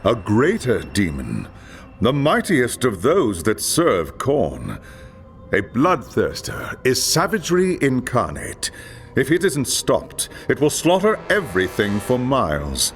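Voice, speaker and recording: A man playing a wise, grave elven lore master in a video game, measured and portentous. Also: medium pitch, huge pitch swings, soft background music, exaggerated voice. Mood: grave warning. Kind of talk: dramatic video game acting.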